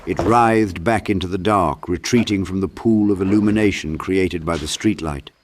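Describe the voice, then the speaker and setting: A man with a very low voice narrates a dark horror audiobook. His delivery is dramatic and scripted, and there is clear noise behind the voice. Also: normal speed.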